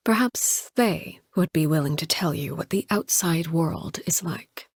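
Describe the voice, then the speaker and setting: A woman playing a stern, composed leader in a video game, speaking in a measured, formal and restrained way. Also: low-pitched, slightly rough, no room sound, clean studio sound, exaggerated voice. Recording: music under the voice.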